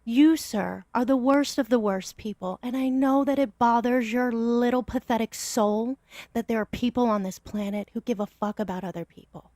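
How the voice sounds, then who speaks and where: A woman on a live-stream panel delivering measured, cutting insults in a heated debate. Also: fairly high pitch, warm tone, no echo.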